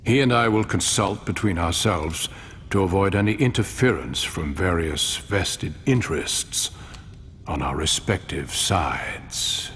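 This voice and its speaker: An actor playing a grave, weary mortal ruler in a game cutscene, speaking in measured, formal, deliberate phrases. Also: male voice, animated, fairly bright tone. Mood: solemn, earnest. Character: weary mortal male ruler, grave, idealistic yet pragmatic. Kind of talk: dramatic game cutscene acting. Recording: long hall reverb, low rumble.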